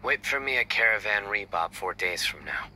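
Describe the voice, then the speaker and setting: An actor playing a stern, solemn young male enforcer of justice in a video game, deadpan and deliberate. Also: fairly low pitch, noisy background, close to an everyday voice. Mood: determined. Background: faint game music.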